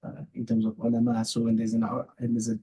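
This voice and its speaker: A South African man with a husky, mellow voice talks as a religious scholar in a conversational way. He drives his points home by repeating phrases with emphasis. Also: medium pitch, completely flat delivery, quick pace. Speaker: Islamic scholar, podcast guest.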